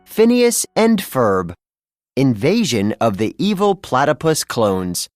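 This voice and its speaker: Slow, animated storybook narrator over music. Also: mid-range voice, no echo, studio quality. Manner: expressive, storytelling delivery. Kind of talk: scripted children's read-along narration.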